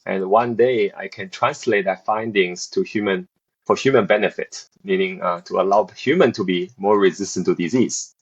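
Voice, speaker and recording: A man's voice: low voice, normal speed, slightly rough, highs cut off. A man in his thirties, from South Korea and raised in Beijing, answering interview questions as a researcher, hesitant with many fillers and restarts. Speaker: researcher, assistant professor.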